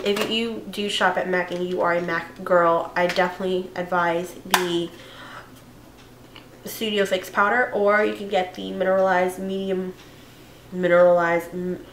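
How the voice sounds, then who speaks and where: Young female vlogger, hoarse with a cold, chatty and rambling; echoey room, faint noise. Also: fairly low pitch. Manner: chatty, rambling, with fillers and self-corrections. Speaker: beauty vlogger. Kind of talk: casual makeup tutorial chat.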